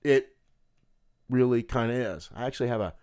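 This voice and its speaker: A man hosting a political podcast, talking solo in a sarcastic, combative way with a gravelly voice. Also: medium pitch, moderate pace, a little mellow. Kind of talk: opinionated solo commentary.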